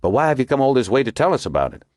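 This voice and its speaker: A man voicing a calm, reserved male FBI agent who speaks in a languid drawl. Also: medium pitch, very fast, dry recording, studio quality, pitched above the performer's own voice, close to an everyday voice. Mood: measured.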